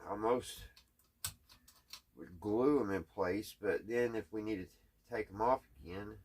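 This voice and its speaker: A man chatting casually in a halting, puzzled, folksy way, thinking out loud as he tinkers. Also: lowish voice, a little hiss or hum. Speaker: host of a radio repair hobby channel. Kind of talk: casual hands-on repair chat, thinking out loud.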